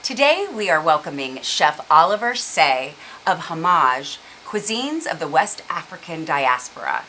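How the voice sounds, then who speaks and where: A woman's voice: low voice, sing-song, unhurried, few pauses, sharp tone, slight background noise, rough recording. A woman hosting a local TV interview show, chatty and encouraging with her questions. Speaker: TV show host. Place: local TV studio.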